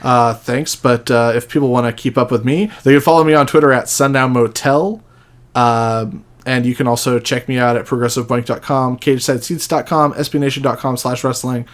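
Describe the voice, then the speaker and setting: A man's voice: medium pitch, steady tone, normal speed. A man appearing as a podcast guest, chatting casually. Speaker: podcast guest, wrestling writer.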